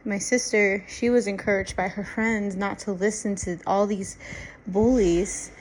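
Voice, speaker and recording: A woman giving an interview answer in a slow, deliberate way. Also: mid-range voice, steady tone, slight background noise, poor audio.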